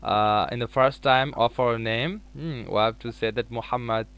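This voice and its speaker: A young man from Bangladesh chats over a video call in hesitant English. He fills his pauses with "uh" and restarts his sentences. His voice is smooth and clear, pitched in the middle. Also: fairly bright tone. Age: 20s. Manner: halting, searching for words with many fillers. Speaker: English learner chatting with a stranger online. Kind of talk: casual video chat.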